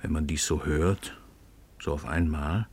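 An actor playing an imposing, self-assured world-famous crime writer in a radio play, husky, ironic and condescending. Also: male voice, extremely low pitch, steady tone, a little slow, a little mellow, heavily acted. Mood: probing, unruffled.

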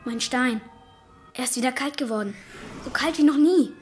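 A woman playing an anxious, excitable young boy in a children's radio play. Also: high voice, moderate pace, reverberant, recorded from a distance, faint music behind, natural voice. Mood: alarmed. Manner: worried, excitable. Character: anxious young boy helping a ghost hunter.

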